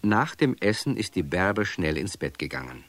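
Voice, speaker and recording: An actor as the storyteller of a children's radio play, narrating from a script and addressing young listeners. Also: male voice, sits low, sing-song, normal speed, a bit bright. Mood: measured storytelling.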